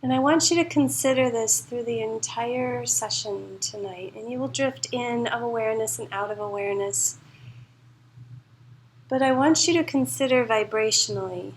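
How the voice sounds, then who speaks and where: A woman leading a guided meditation as a teacher, speaking in a soothing, unhurried and reassuring way. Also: a little high, slight background noise, rough recording. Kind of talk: guided meditation, spoken live to a class.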